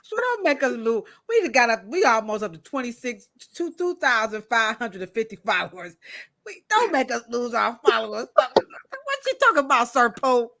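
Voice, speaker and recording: A woman's voice: on the high side, normal speed, no echo. A woman hosting a livestream who calls out the chat and hands the floor to guests. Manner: excitable, hypes the chat. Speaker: host.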